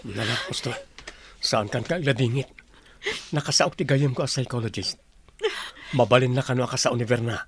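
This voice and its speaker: A man's voice: medium pitch, brisk, long pauses, husky. An actor with a Filipino accent playing a practical male partner in an Ilocano radio drama. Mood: concerned.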